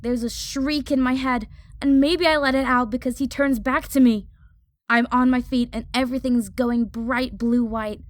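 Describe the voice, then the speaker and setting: A woman's voice: sits high, steady tone, normal speed, no echo, clean studio sound, natural voice. A woman playing a hot-headed young female fire mage, narrating an audio drama in the first person with intense, clipped phrases. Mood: urgent, determined.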